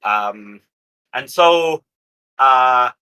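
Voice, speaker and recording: A man playing a tabletop roleplaying game, talking through his moves casually but with deliberate, somewhat formal phrasing. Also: medium pitch, lively intonation, pushed and bright, clear, resonant tone.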